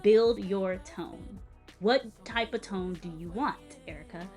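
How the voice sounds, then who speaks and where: A woman's voice: medium pitch, slow pace. A young woman music educator with a light-sounding voice, critiquing a performance in a casual, emphatic, teacherly way. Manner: animated and emphatic, stressing words and interjecting; direct, teacherly advice to the viewer.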